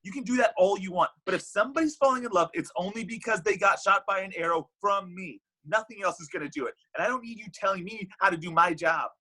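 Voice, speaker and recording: A man's voice: high voice, fast, few pauses, bright, forceful tone, breathy edge, exaggerated voice, poor audio. A man playing Cupid in a comedy table read, a bitter, heartbroken matchmaker god who gets defensive and exasperated. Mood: scornful, indignant. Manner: exasperated, indignant, stammering.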